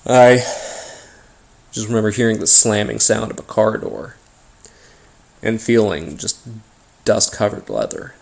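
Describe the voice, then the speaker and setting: An American man telling a first-person paranormal story in an informal, halting way, pausing between short phrases. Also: fairly low pitch, sing-song, gravelly, a little mellow. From Appalachian region of the United States. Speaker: host and narrator of a paranormal story series.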